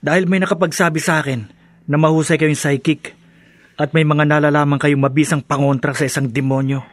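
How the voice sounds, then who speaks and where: Man acting a higher-pitched vengeful young student. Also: flat delivery, fairly quick, no room sound, close to an everyday voice. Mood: earnest, determined. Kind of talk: Filipino radio horror drama acting.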